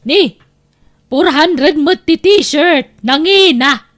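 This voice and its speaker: Woman acting a high-voiced bossy Ilocano aunt. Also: very slow, fairly bright tone, exaggerated voice. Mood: breezy, thrifty. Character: breezy, bossy, thrifty middle-aged aunt. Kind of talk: Ilocano audio drama acting.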